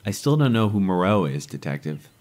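An actor playing an edgy, resentful hotel worker in an audio drama, with a blunt, awkward, brooding way of talking. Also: male voice, low-pitched, sing-song, fairly quick, darkish tone. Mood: wary.

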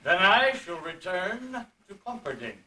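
A man's voice: high voice, big pitch swings, unhurried, pushed and bright, heavily acted. A man voicing a pompous, courtly royal elephant puppet in a grandiose, declamatory stage manner, on an amateur camcorder recording. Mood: fussy, courtly. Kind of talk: theatrical puppet show acting. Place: indoor convention stage, distant camera. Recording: roomy, distant amateur camcorder, faint noise.

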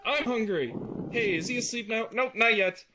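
A man's voice: high voice, normal speed, noisy background, close to an everyday voice. A man voicing a swarm of pesky mosquito girls who needle and mock impatiently. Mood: taunting.

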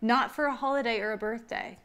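Een vrouw van in de dertig, co-presentator, reageert speels en kort op haar partner, met een dasspeldmicrofoon in een werkplaats. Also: female voice, fairly high pitch, steady tone, brisk, fairly bright tone, clean tone. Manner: playful, short reactive quips. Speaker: co-host. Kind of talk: casual live-stream chat. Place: home woodworking shop, indoors. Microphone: lapel mic.

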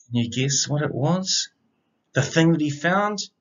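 A middle-aged man reads a children's story aloud. His delivery is expressive and unhurried, with animated pitch, and the recording is slightly dull and lo-fi. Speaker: storybook reader, educator. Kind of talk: children's read-aloud of rhyming picture book. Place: indoor room. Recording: slightly dull, lo-fi webcam sound.

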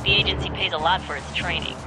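Actress as composed woman intelligence partner, loud music. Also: lowish voice, fast talker, close to an everyday voice, poor audio. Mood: composed, dry. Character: composed, dry-witted female intelligence partner on radio. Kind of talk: video game cutscene acting.

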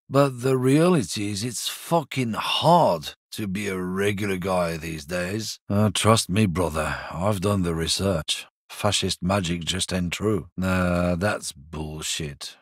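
Game voice: slow, husky, belligerent trucker with a low voice, dry close studio sound. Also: male voice, dry recording. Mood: combative. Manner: gruff, combative, swears a lot. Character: bigoted middle-aged male lorry driver, surly and defensive. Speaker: game character. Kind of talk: video game voice lines.

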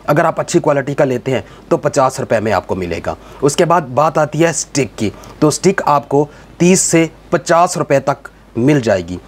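Higher-pitched Pakistani male explainer narrator. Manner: instructive, repetitive phrasing, addresses viewers directly. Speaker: video presenter. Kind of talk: scripted voice-over narration, explainer.

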